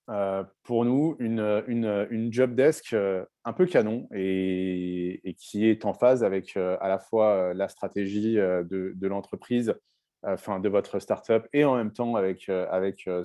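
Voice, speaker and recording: A man with a French accent hosting a live panel webinar. He speaks in a relaxed, hesitant way, with frequent fillers and restarts. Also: on the low side, measured pace. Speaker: webinar host.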